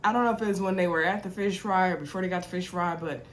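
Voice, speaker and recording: A woman's voice: lowish voice, fast talker. A young woman with a sore throat and congestion chatting casually to her viewers as she recaps a reality TV episode, with lots of ums and y'alls. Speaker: TV recap host.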